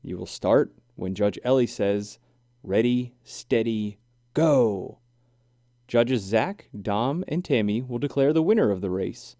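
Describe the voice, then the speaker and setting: Man as an emphatic, unhurried animal games judge. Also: mid-range voice, expressive, dark tone, dry recording, clean studio sound. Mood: official, instructive. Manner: instructive, emphatic, official. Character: male animal judge explaining storybook game rules.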